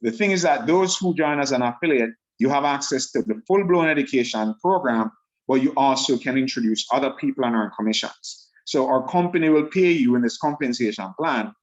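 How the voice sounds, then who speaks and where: A man with a Jamaican accent talks quickly and eagerly, stacking up figures and repeating key words. His voice sits at an ordinary middle pitch. It comes through a dull, lo-fi video call line. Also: animated. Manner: fast-talking, enthusiastic, repeats for emphasis. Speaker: online sales presenter.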